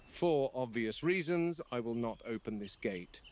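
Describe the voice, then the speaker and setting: An actor playing a serious game warden who narrates a formal, objective log in a found-footage horror film. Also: male voice, medium pitch, animated, unhurried, darkish tone, lightly acted. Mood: measured, grave. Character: measured, serious male game warden documenting dangerous predators.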